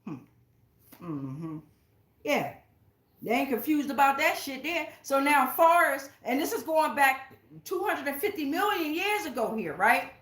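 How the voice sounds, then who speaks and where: A woman's voice: sits high, big pitch swings, measured pace, fairly bright tone, echoey room. A woman giving a casual spiritual tarot reading, speaking straight to her viewers in an emphatic, blunt, sweary way. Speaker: tarot reader, channel host. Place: indoors at home.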